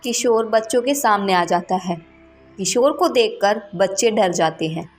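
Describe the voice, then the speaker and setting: A woman's voice: medium pitch, lively intonation, unhurried, faint music behind. A woman reading scripted narration for a children's cartoon story.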